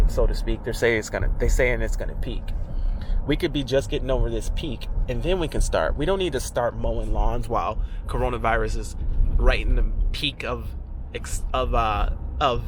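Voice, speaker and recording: Male vlogger, congested, frank rambling chat outdoors on an action cam, mid-range pitch. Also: noisy background. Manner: rambling, frank, many restarts. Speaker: vlogger, lawn care business owner. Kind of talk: casual vlog talk to camera. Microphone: action camera.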